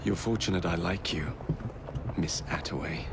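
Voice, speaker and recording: An actor playing a cocky, roguish young gang leader in a game, with a cheeky, casual way of talking. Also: male voice, low voice, normal speed, creaky, noisy background. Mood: teasing. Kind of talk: game cutscene dialogue.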